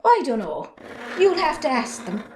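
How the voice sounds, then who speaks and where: A woman's voice: sits high, sing-song, normal speed, a little mellow, slight background noise. A woman playing a defiant, sardonic young farmer's daughter with an Irish accent in an amateur drama. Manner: sardonic, outspoken.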